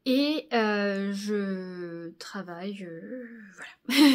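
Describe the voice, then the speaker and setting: A woman in her thirties from Normandy, chatting casually to camera in a knitting vlog, hesitant with many fillers and quick laughs. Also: medium pitch. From Normandy, France. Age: 30s. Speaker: knitting video host. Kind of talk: casual monthly vlog chat.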